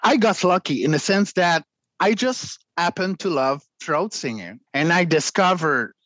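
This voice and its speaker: A man, a guest vocalist, explaining extreme singing in a conversational interview, clearing his throat often between demonstrations. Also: fairly high pitch, expressive, measured pace, a bit bright, dry recording.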